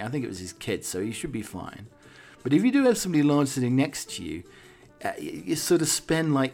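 A man's voice: mid-range voice, a bit bright. A man hosting a comic talk on the week's news, wry and digressive, with hesitant asides. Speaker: podcast host.

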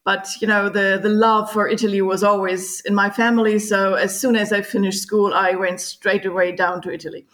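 A middle-aged woman from Germany with a German accent, a tour guide talking as a podcast guest, laughing often and pausing on fillers. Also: mid-range voice, a bit bright. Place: home in Sicily, remote call.

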